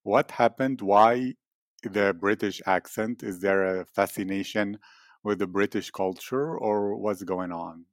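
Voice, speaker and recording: A man with a lowish voice conducts an interview and asks long, carefully built questions in a formal, probing way. The recording is dry, with no room sound around him. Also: fairly even intonation, a little slow, dry recording.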